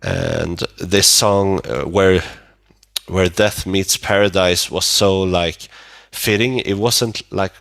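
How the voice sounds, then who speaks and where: A man speaks in a dry, close recording. He takes his time, pausing and searching for words as he talks casually in an interview. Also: low voice, fairly even intonation, vocal fry, fairly bright tone, dry recording. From Sweden. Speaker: band vocalist, interview guest.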